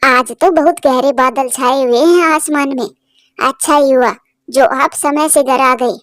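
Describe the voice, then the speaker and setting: A woman voicing a bossy, quick-tempered young bird wife in a cartoon, with a nagging, insistent manner. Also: high-pitched, fairly bright tone, dry recording, studio quality. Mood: chatty.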